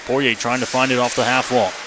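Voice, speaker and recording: A man's voice: mid-range voice, fast talker. A man doing live radio play-by-play of an ice hockey game in clipped, running phrases, as the announcer. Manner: clipped running phrases, rising to excited calls on shots. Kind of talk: live radio sports commentary. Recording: noisy live arena radio feed.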